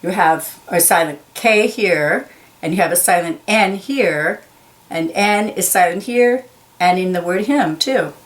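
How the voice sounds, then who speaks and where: A woman reading a children's picture book aloud, carefully stressing key words and explaining them simply. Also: on the low side. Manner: slow, deliberate, enunciates key words for young listeners.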